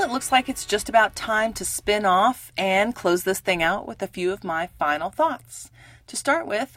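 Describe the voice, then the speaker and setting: A woman hosting her own podcast in a friendly, chatty way, her voice a little rough this week. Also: mid-range voice, fairly bright tone, no echo. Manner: friendly, chatty, upbeat sign-off. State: slightly rough voice. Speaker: podcast host. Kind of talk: casual solo podcast talk.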